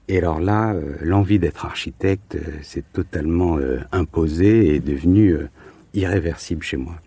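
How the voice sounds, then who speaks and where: Deep, husky man with a mellow tone. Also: no echo. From Marseille, southern France. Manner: hesitant, searching, repeating words for emphasis. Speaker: architect, interview guest. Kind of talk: conversational interview answers.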